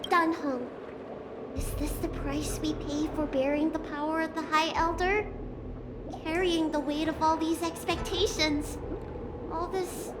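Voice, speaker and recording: A gentle young healer girl. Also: sits high, level tone, unhurried, noisy background. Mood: earnest. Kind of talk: video game voice acting. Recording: studio voice over game music.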